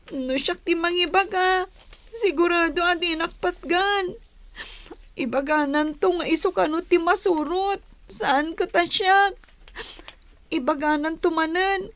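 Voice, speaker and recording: A woman's voice: very high voice, a bit bright, no room sound, full character voice. A woman playing a young schoolgirl, pleading and feisty, in a radio drama.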